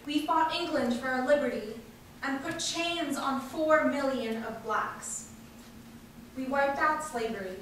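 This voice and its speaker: An impassioned populist woman orator, read out by a woman, denounces the powerful in a high voice. The delivery is rhetorical and emphatic, heard from a distance in a very echoey hall with faint noise. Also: fairly bright tone. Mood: indignant. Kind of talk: declaimed political speech read aloud.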